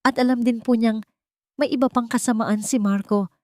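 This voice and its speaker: A woman's voice: fairly high pitch, fairly even intonation, normal speed, darkish tone, no room sound, clean studio sound, voice pushed up, close to an everyday voice. A woman voicing a loyal, secretive man in a drama story, cautious and respectful as he explains. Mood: earnest, explaining.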